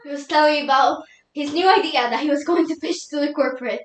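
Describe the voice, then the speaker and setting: A woman performs a young widow lost in grief and doubt. Her voice is high and emotional, with halting, pleading delivery. It is a solo dramatic monologue, heard in a reverberant room. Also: natural voice. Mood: subdued sorrow. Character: grieving young widow, tearful and doubting God. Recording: echoey room.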